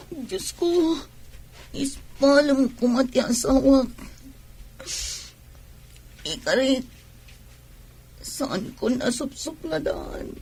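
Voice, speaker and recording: A woman playing a distraught, pregnant young wife in a radio drama, speaking slowly and plaintively. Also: sits high, creaky, a little mellow, a little hiss or hum, heavily acted. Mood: tearful pleading. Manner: slow, plaintive delivery. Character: distraught pregnant young wife grieving over injured husband.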